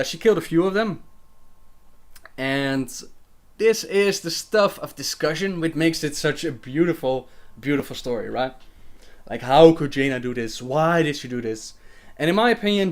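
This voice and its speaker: A young man hosting a casual livestream Q&A, reading viewer questions aloud and answering them chattily with fillers and laughs. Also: fairly high pitch.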